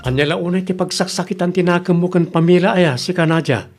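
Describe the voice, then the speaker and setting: A man's voice: sits high, fairly quick, faint music behind. A blunt village man, acted by a man in an Ilocano comedy radio drama. He chides and advises with an Ilocano Filipino accent. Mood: chiding.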